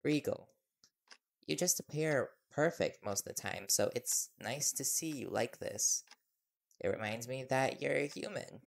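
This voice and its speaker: A man's voice: medium pitch, measured pace, creaky, a little hiss or hum. A man playing an earnest, nervous young reporter boyfriend in an intimate audio roleplay, hesitant and deferential. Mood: sheepish, admiring.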